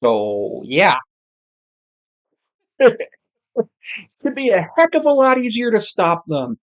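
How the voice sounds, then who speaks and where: An amateur commentator giving casual live football play-by-play, with muttered asides and self-corrections, sex not clear from the voice. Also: mid-range voice, huge pitch swings, extremely slow, highs cut off.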